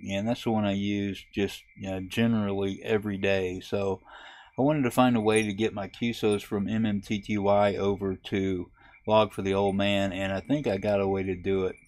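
A man hosting a ham radio tutorial, talking through a software setup in a relaxed, halting way with frequent fillers. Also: lowish voice. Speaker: ham radio video host. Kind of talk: casual screen-recorded tutorial. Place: camper.

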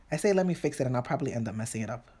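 A woman's voice: rumbling low, expressive, rapid-fire, warm tone, slight background noise. A woman from New York City with a New York accent and Spanish as her first language, chatting and laughing as she paints on a live stream. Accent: New York, Dominican Spanish-influenced. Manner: chatty, laughs often, talks while working. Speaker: painting tutorial host. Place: indoors at a home painting desk.

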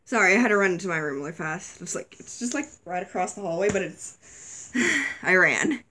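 A young woman vlogger chatting casually while unboxing toys, a little sick, her voice wearing thin, squealing excitedly at surprises. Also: on the low side, moderate pace, a little hiss or hum. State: slightly sick, voice going. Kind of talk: casual toy unboxing chat. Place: dim bedroom, indoors.

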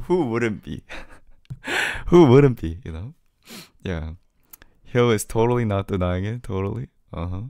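Young guy streamer, low voice, chill, laughs a lot, pretty animated, soft music in back. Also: no echo, studio quality. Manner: laid-back, laughs often, many fillers. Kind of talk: casual livestream chat reading comments. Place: small home room with keyboard. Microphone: studio mic on arm near face.

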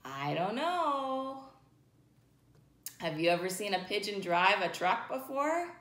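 A woman in her thirties leading an interactive read-aloud, putting questions to young children in an animated way. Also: mid-range voice, slow pace. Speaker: storytime reader for children. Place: indoors, small plain-walled room. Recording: echoey room.

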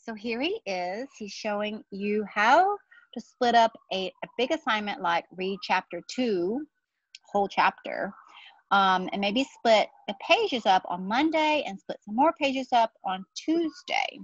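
A woman in her forties reading a picture book aloud to children, deliberate and explanatory, with casual asides. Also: mid-range voice, animated, no room sound, highs cut off. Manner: deliberate, explanatory, speaking to young listeners. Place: outdoor patio beside trees.

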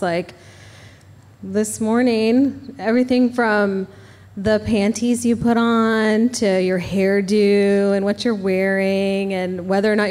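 A woman's voice: medium pitch, a little mellow. A woman giving conversational, explanatory commentary as a panelist, in a plain-spoken way. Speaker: expert panelist. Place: large event venue, live panel. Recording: echoey hall with long reverb.

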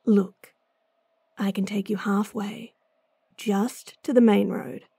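A woman voicing a gruff, impatient female bounty hunter in a fantasy audio drama, blunt and sardonic, sighing often. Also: medium pitch, little pitch movement, extremely slow, dark tone, no room sound, studio quality, exaggerated voice. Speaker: voice actress.

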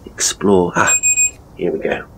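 A man's voice: a little slow, fairly bright tone, slight background noise, natural voice, rough recording. A man playing a hapless, seedy ghost tour guide in an audio horror story, with a British accent and a pompous, florid, leering manner. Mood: creepy, awkward.

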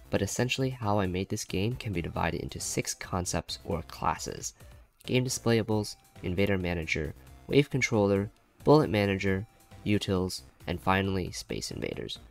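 Man, gravelly fry, lowish pitch, scripted developer tutorial voice-over, faint music underneath. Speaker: YouTube game developer presenter. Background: faint background music.

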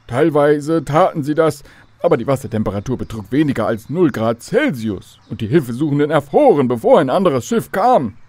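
A man's voice: a little high, sing-song, a little fast, a little mellow, dry recording. A man playing a knowledgeable storyteller who explains history precisely to children in a scripted radio drama. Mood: informative.

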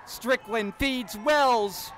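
A man calling a college basketball game play-by-play, getting louder and excited on big plays. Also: very high voice, extremely slow, over loud music. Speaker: play-by-play announcer. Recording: crowd and court noise behind the commentary.

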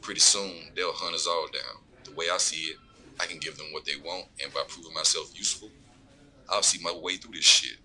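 A man's voice: extremely low pitch, fairly even intonation, brisk, sharp tone, heavily acted, low-quality recording. A man playing a smug, corrupt lawman in an audio drama, taunting and profane as he coolly threatens his informant. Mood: smug menace. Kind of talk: scripted audio drama dialogue.

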